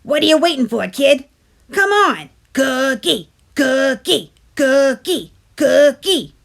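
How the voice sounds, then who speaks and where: A storybook sweet tooth with a demanding, brash streak, acted out by a woman. It speaks loudly in a raised, bouncing pitch. It wheedles and wisecracks like a pushy little pest. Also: very animated, slow pace, vocal fry, exaggerated voice. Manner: loud, pleading, brash wisecracking. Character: bossy, wheedling talking sweet tooth craving candy. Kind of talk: animated picture-book character voice.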